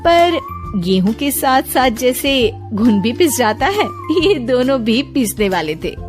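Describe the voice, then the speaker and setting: A woman's voice: a little high, big pitch swings, a little slow, faint music behind. A woman reading scripted narration for a domestic cartoon story.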